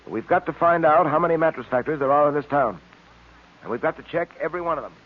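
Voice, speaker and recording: A man playing a brisk, commanding, methodical police detective in an old radio serial, clipped and authoritative. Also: a little high, natural voice. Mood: brisk, focused. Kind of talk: 1930s-40s radio serial acting. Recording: muffled vintage radio serial recording.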